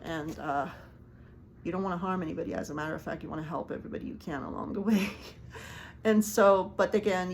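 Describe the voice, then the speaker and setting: Earnest, halting woman, native Farsi speaker. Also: fairly low pitch, slight background noise. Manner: earnest, halting, with many ums and restarts and small laughs. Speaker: life coach. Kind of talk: casual talk to camera.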